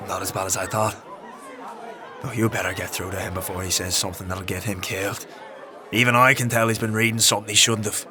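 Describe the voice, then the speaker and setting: An actor playing a cynical, coarse, world-weary male scavenger in a dark fantasy audio drama, blunt and foul-mouthed. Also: on the low side, steady tone, fast talker, crowd noise, noisy background, lightly acted. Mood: wary warning. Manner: blunt, profane, jaded.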